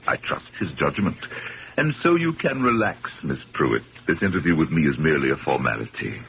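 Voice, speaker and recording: Creaky, low-voiced old gentleman collector. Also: sing-song, a little fast, exaggerated voice. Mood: rambling, courteous. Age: older. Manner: genteel, hesitant, wordy. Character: courteous, rambling old bachelor collector of ancient artifacts. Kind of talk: 1970s radio drama acting. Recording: dull, hissy old radio broadcast.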